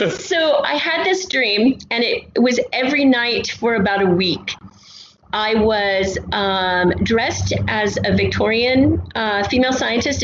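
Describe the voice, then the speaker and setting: A woman guest, a writer, telling stories casually in an interview in a halting, thoughtful way. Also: a little high. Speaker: guest, writer of an audio drama podcast. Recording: roomy, dull, noisy amateur recording.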